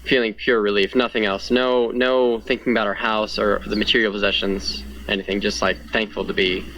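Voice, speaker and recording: A young man with a slightly low voice talks through his own experience as a disaster survivor. He is earnest and conversational, peppering his account with "like". There is clear noise behind him. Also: little pitch movement, fairly quick, a bit bright, poor audio. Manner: earnest, conversational, frequent 'like'. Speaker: fire survivor being interviewed. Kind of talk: casual personal account in an interview.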